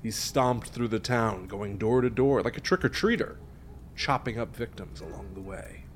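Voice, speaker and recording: A man's voice: on the low side, expressive, normal speed, a little hiss or hum, natural voice. A man playing a smug, teasing friend who spins spooky tales in an audio drama. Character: smug, teasing male friend telling a gory local legend. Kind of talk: naturalistic audio drama acting.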